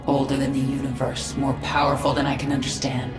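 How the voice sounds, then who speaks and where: A woman's voice: extremely low pitch, monotone, brisk. A woman voicing a vengeful, battle-hardened alien queen in a video game, commanding and grave, with a layered echoing effect. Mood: grim resolve. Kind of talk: dramatic video game acting. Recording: echoey, distant voice under loud music.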